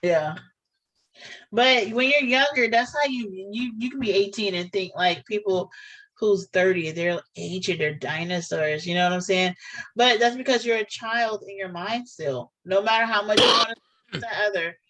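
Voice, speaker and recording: A woman's voice: mid-range voice, moderate pace, a bit bright, poor audio. A woman chatting casually on a reaction show, earnest and rambling, often restarting her sentences. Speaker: co-host of a reaction channel.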